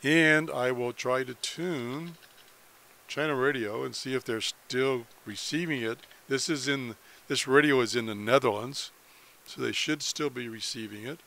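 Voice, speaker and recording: A man presenting a casual, unscripted screen-capture tutorial as a hobbyist, speaking haltingly with pauses in the middle of sentences. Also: mid-range voice, a bit bright. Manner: halting, pauses mid-sentence, often clears throat.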